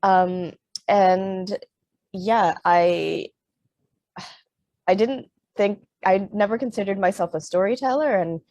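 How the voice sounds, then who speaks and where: A woman's voice: medium pitch, slow pace, pauses often, darkish tone, no echo. A middle-aged woman from Canada, an author on a panel who talks with many fillers and restarts, then reads aloud from her book. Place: Vancouver.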